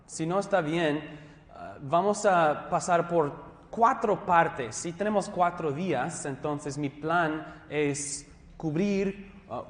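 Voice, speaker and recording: A man from Southern California with an American English accent, a pastor teaching a theology class in his second language, friendly and self-deprecating, pausing and restarting often. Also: a little high. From Southern California, USA. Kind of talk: classroom lecture. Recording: echoey hall, long reverb.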